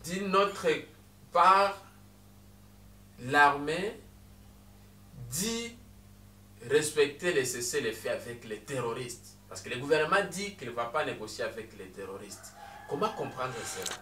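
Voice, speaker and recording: A man's voice: medium pitch, expressive, a little slow, long pauses, pushed and bright, distant mic. A man with a Congolese French accent, an interviewer asking pointed, probing questions. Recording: echoey off-mic voice.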